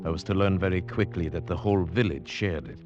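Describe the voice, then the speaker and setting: A man playing a cultured, brooding huntsman who narrates gravely and formally in an old radio drama. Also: sits low, fast talker, darkish tone, lo-fi sound, faint music behind, lightly acted. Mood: grave narration. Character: cultured Slavic huntsman, brooding, at home in forests. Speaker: narrator and character. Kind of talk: 1940s-50s radio drama acting.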